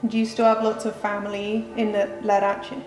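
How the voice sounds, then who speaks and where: A woman's voice: mid-range voice, monotone, a little fast. A woman interviewer asking short, encouraging questions in an oral history interview. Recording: echoey room with faint music.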